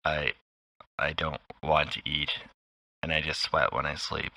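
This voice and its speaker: Male actor as lonely night broadcaster, extremely deep, crisp bright edge, weary, halting, grim, audio drama. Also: flat delivery, no room sound, heavily acted. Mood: uneasy, weary. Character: weary, isolated, uneasy male radio operator. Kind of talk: late-night scripted audio monologue.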